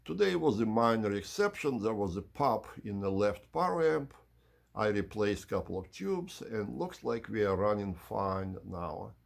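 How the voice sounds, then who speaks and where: A man hosting an unhurried explanatory video tour in measured, slightly formal phrasing, with a husky, gravelly voice. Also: on the low side, lively intonation. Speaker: channel host giving an audio system tour.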